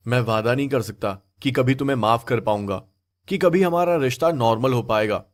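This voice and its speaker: A man playing a hurt, dutiful young husband in an audio story, speaking in a measured, reproachful way. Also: mid-range voice, natural voice. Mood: bitter, resolute. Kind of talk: acted Hindi audio story dialogue.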